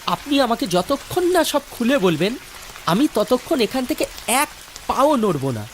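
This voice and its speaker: A woman's voice: fairly high pitch, big pitch swings, unhurried, slight background noise. A woman voicing an insistent young village man, urgent and stubbornly pleading in a cartoon drama.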